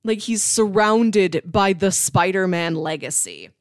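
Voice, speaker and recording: A woman's voice: mid-range voice, animated, slow, dry recording, clean studio sound. A woman co-hosting a podcast, discussing films in a casual, analytical, conversational way with asides. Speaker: podcast co-host debating films.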